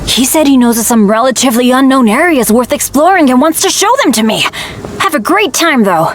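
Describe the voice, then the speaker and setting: Woman doing eager young boy adventurer for a game, boyish excitable voice, animated, faint noise behind. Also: sits high, a little fast. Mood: happy, grateful. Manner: excitable, earnest. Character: eager, earnest teenage boy adventurer, cheerful despite bad luck. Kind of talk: video game character acting.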